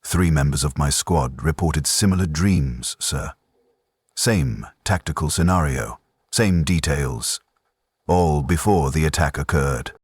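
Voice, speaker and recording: Blunt, suspicious squad sergeant for war fiction, voiced by a male narrator. Gruff manner, dry close sound. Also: very deep voice, slow pace, no echo, exaggerated voice. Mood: uneasy.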